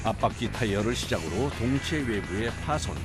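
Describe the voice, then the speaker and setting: A man's voice: on the low side, expressive, normal speed. A man reading scripted documentary narration. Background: background music.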